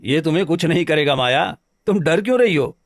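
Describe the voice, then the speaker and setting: Higher-voiced, earnest woodcutter acted by a man. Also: expressive, brisk, dry recording, clean studio sound, close to an everyday voice. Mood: earnest, pleading. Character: gentle, anxious village woodcutter, trusting husband. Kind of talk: scripted Hindi cartoon story dialogue. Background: background music.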